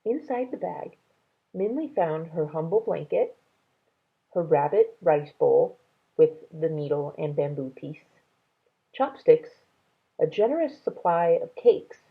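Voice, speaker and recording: A woman storyteller reads a children's novel in a low voice with a gravelly creak. Her delivery is slow and measured, and the recording sounds thin and tinny, like a narrow band. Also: animated. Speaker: read-aloud storyteller. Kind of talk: reading a children's novel aloud.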